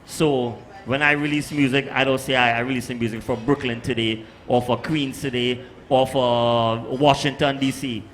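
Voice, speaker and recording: A man's voice: mid-range voice, steady tone, moderate pace, hardly any pauses, fairly bright tone. A man, a soca artist, making his point on a panel in emphatic, repeated phrases. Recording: live event, faint background noise.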